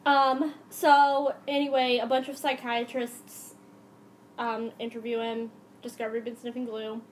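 A woman's voice: a little high, level tone, measured pace, a little hiss or hum. A young adult woman hosting a podcast, telling a true crime story in a casual, conversational way.

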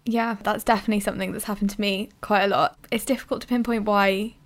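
A woman's voice: mid-range voice, fairly even intonation, fast. A woman hosting a podcast, chatty and casual, often saying "like". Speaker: podcast host. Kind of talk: casual discussion.

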